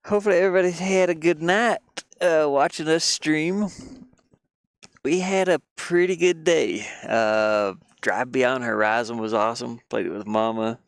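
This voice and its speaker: A man's voice: on the high side, moderate pace, dry recording, clean studio sound. A man from the United States chatting casually on a live game stream, rambling and muttering to himself as he works.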